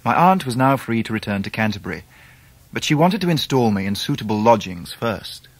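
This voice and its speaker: An actor playing a grown English gentleman narrating his own youth in a measured, literary radio drama style. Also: on the low side, sing-song, no echo. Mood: reflective. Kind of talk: scripted first-person drama narration.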